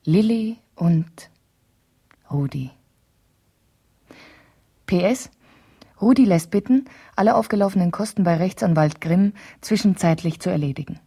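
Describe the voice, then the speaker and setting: A woman's voice: on the low side, unhurried, long pauses, mellow, slightly rough. An actress playing a wife in a radio play, confiding and hesitant as she thinks aloud over an emotional letter. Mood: tender, content. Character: wife writing an emotional letter to a trusted friend.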